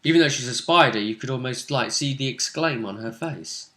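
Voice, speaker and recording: A man's voice: mid-range voice, fairly quick, fairly bright tone. A man chatting casually and animatedly as a game master, retelling a tabletop session and acting out reactions. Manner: chatty storytelling, acts out players' reactions. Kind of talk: casual animated chat recounting game events. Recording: roomy, echoey home recording.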